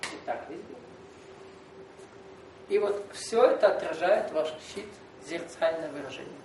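A man's voice: on the high side, lively intonation, unhurried, a little mellow. A man lecturing to a gathered group as a spiritual teacher, explaining and asking rhetorical questions. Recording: echoey hall, recorded from a distance with faint noise.

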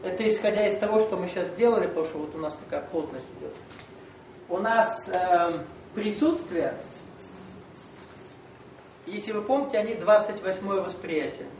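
A man lectures a gathered group, explaining and tossing out rhetorical questions. His voice sits high for a man. It rings around an echoey hall, picked up from a distance over faint background noise. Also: lively intonation, normal speed, pauses often, a little mellow. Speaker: spiritual teacher.

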